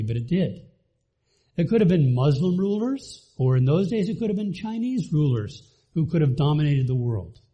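A man's voice: a little high, warm tone, slightly rough. A man from the Bahamas giving a conversational church teaching talk to a congregation, asking the audience questions as he goes.

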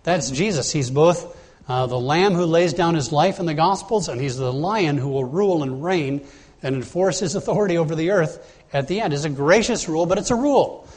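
A man's voice: fairly high pitch, moderate pace, darkish tone. A man preaching an expository sermon, explaining scripture verse by verse in a plain teaching tone with frequent "uh"s and restarts. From Bahamas.

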